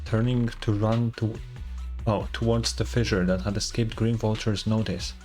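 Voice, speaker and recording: A man's voice: fairly low pitch, fairly even intonation, normal speed, darkish tone. A young man streaming a game with a mid-range, everyday voice. He reads story text aloud and comments casually, with faint music behind him. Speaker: gaming streamer.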